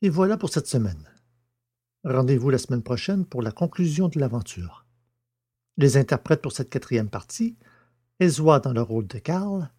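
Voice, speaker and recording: A man's voice: mid-range voice, dark tone, husky. A man reading scripted closing credits as the narrator of an audio drama podcast.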